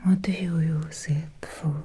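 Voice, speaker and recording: A woman playing an ancient, possessive female vampire in an ASMR roleplay, speaking in a breathy, seductive whisper with lingering, deliberate pauses. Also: deep voice, fairly even intonation, warm tone, full character voice. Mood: curious, seductive.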